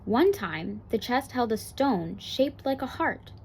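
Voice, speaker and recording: A woman's voice: a little high, expressive, takes it slowly, slight background noise. A woman narrating a children's picture book aloud as a bedtime story. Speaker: storybook reader.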